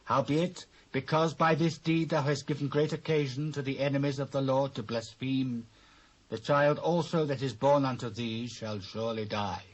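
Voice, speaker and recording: A male actor plays a grave prophet of ancient Israel with a British accent. His medium-pitched voice is measured and declamatory. He speaks with solemn authority in a scripture drama. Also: fairly even intonation, lightly acted, low-quality recording. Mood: stern, accusing. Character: solemn, stern prophet speaking God's judgement. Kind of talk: dramatised Bible reading, British stage acting.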